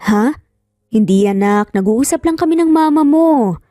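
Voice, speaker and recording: A woman voicing a calm, affectionate but firm male boss and father in a dramatised story. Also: high voice, unhurried, dry recording, clean studio sound, faint music behind. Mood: reassuring.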